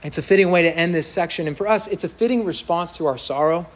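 A pastor preaching an earnest, emphatic sermon to his congregation in a church sanctuary, with a loud voice that builds to impassioned peaks. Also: on the high side, fast.

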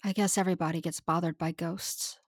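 A woman's voice: on the low side, steady tone, brisk, husky, dry recording, studio quality. A woman playing a tough, blunt, regretful woman of the space underworld, confrontational, in a sci-fi audio drama. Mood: hard, regretful.

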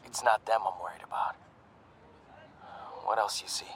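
An actor playing a failing but loyal cyborg soldier in an audio drama, with terse, weary, resigned delivery. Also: male voice, fairly low pitch, fairly even intonation, normal speed, fairly bright tone, a little hiss or hum. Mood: wary.

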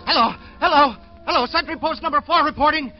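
An actor playing an excited, urgent man calling out news in an old radio drama. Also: extremely high pitch, fast talker. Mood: panicked. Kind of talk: 1930s-40s radio drama acting. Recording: muffled old radio recording with music.

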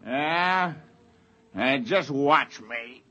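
A man's voice: mid-range voice, animated, very slow, pushed and bright, highs cut off, full character voice. An actor playing a boisterous, loutish, cocky drunken spaceman in a 1950s radio drama. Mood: surly, defiant. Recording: noisy old radio recording, crowd behind.

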